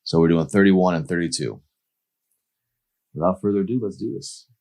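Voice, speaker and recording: A man reading his own novel aloud as an author, in an expressive audiobook-style narration. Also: deep voice, normal speed, gravelly.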